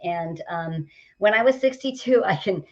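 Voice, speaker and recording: A woman in her late sixties, a motivational coach, talking as a podcast guest in an upbeat, energetic way with stories and examples. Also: medium pitch, moderate pace, muffled recording. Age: 60s. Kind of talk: podcast conversation.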